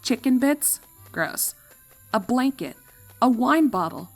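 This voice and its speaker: A woman reading fan fiction as narrator, expressive and dramatic, leaning on key words. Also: fairly high pitch, slow pace, gravelly, faint music behind. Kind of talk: expressive scripted story narration.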